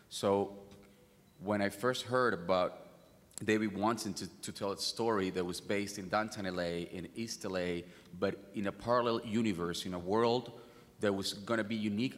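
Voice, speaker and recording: Actor, venezuelan accent, earnest, keeps restarting, big hall reverb. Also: male voice, sits low. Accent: Venezuelan Spanish. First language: Spanish. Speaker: film actor on a panel.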